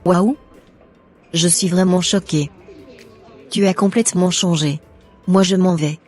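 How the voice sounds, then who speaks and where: A man's voice: high voice, fairly even intonation, a little slow, a little mellow, faint music behind, voice pushed up. A man voicing a young woman, a cast-off mistress, who talks sarcastically and scornfully in a cartoon.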